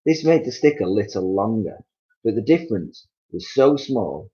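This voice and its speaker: A man reading a children's story aloud as an expressive narrator, on a slightly muffled recording. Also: lowish voice, moderate pace.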